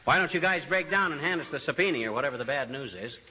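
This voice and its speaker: An actor playing a blustering, cocky husband with flowery sarcastic wisecracks, in the manner of 1940s radio comedy. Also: male voice, on the high side, lively intonation, fast talker, sharp tone, husky, exaggerated voice. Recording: hissy old radio broadcast.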